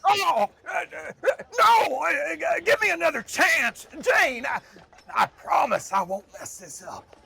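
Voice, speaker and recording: A man playing a flustered, excitable soul tracker underling in an audio drama, nervous and exclaiming, prone to stammer. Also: very high-pitched, a little slow, vocal fry, noisy background, heavily acted. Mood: nervous, pleading. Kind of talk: scripted audio drama acting.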